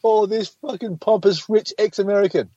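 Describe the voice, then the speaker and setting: A man's voice: sits high, normal speed, dark tone, slightly rough, dry recording. A man co-hosting a podcast, sarcastic and mocking. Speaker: podcast co-host. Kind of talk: casual profane chat. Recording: dull lo-fi sound.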